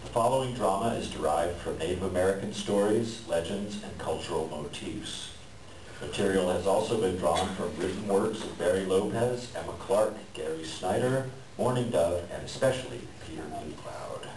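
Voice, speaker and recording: Deep-voiced man introducing a staged reading. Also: normal speed, a little mellow. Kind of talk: formal introduction and read author's note. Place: library room, live event. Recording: echoey room, distant mic, clear noise.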